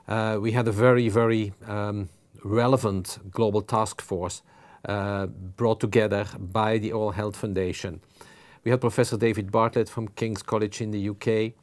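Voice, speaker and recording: A man from Belgium with a Flemish accent, a native Flemish speaker, giving a webinar presentation slowly and carefully, with frequent pauses and fillers. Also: lowish voice, a little mellow. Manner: slow, careful, halting with many fillers. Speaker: presenting dental researcher.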